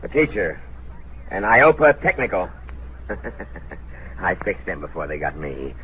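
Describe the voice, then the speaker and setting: A man's voice: low voice, very animated, takes it slowly, exaggerated voice. An actor playing a paranoid, half-mad male prisoner in an old radio drama, suspicious, jumpy and given to laughing to himself. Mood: gleeful, menacing. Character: deranged, paranoid male prison-camp inmate, violent ex-teacher. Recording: tinny, noisy old radio recording.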